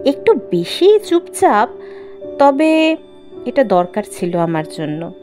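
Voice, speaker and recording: A woman narrating a horror story in the first person, theatrical and suspenseful, with drawn-out pauses. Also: on the high side. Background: background music.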